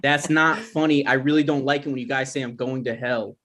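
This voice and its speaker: A man's voice: medium pitch, fairly even intonation, fairly quick, a bit bright, lightly acted. Guy reading a touchy defensive son in a comedy script, argues about everything, kinda awkward host. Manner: defensive, argumentative. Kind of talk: comedy script table read.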